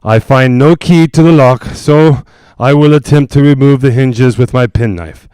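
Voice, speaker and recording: A male actor playing an earnest doctor investigating a haunted house in a 1940s-style radio drama, reporting in a formal, measured way. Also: medium pitch, warm tone, clear, resonant tone, dry recording, natural voice. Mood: earnest, intent.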